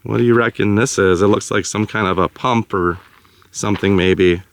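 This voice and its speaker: A man with a raspy voice vlogging casually outdoors in the desert, thinking aloud as he goes in and out of his truck. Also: on the low side, fairly even intonation, normal speed. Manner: thinking aloud, rambling with fillers. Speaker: travel vlogger. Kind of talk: casual travel vlog.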